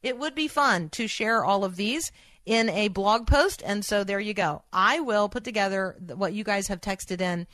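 Female radio host for faith programming: chatty, encouraging, medium pitch, close dry sound, speaks straight to listeners. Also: moderate pace, fairly bright tone, no echo.

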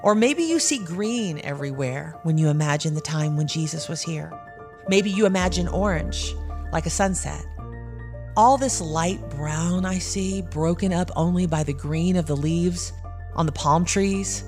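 Woman storyteller, measured and evocative, slightly low voice, music playing under the narration. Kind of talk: scripted audio drama narration. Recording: clear music bed underneath.

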